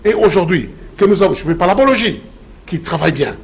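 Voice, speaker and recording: A man's voice: sits high, animated, fast, gravelly. A man, a professor lecturing emphatically in a TV debate. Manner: emphatic, lecturing, repeats slogans.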